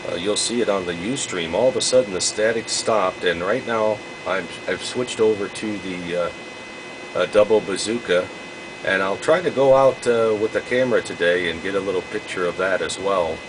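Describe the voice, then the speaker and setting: Man, low voice, hobby radio operator, casual chat, halting and rambling, clear background hum. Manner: halting, rambling, repeats himself. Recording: constant hum and noise behind voice.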